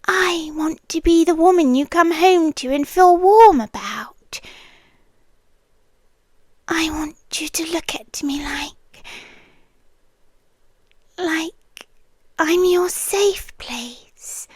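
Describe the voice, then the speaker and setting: A high, intimate British female voice, a woman acting as an obsessive landlady girlfriend in ASMR roleplay. She speaks extremely slowly, sweet yet controlling, often sinking into a breathy whisper. The sound is dry and close. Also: darkish tone, no room sound, exaggerated voice. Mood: needy, possessive. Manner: slow, deliberate, coaxing and commanding.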